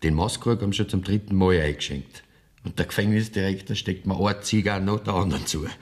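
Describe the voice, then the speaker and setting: An actor playing a condemned poacher in a German radio play, a wistful, plain-spoken rustic son with a Bavarian accent. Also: male voice, low-pitched, fast, natural voice. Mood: tender, wry.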